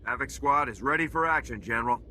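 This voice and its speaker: An actor playing a confident, wry special-forces major in a video game, speaking in terse, dry military phrases. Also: male voice, medium pitch, quick pace, sharp tone, a little hiss or hum, poor audio. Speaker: soldier, squad leader. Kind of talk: scripted video game dialogue.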